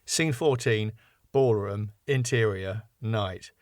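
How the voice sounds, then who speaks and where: A man's voice: lowish voice, takes it slowly, dry recording, studio quality. A man reading out stage directions from a sitcom script as scripted narration.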